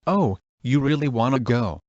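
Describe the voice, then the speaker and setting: A man's voice: medium pitch, animated, normal speed, highs cut off, background noise, close to an everyday voice. A synthetic male text-to-speech voice playing a stern boss who reads emergency alerts in a comedy skit. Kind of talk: formal scripted emergency announcement.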